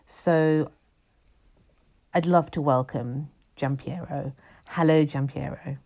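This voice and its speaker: A woman's voice: low-pitched, fairly even intonation, slow, gravelly, dark tone. A woman hosting an interview show who formally introduces her guest and asks questions. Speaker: host.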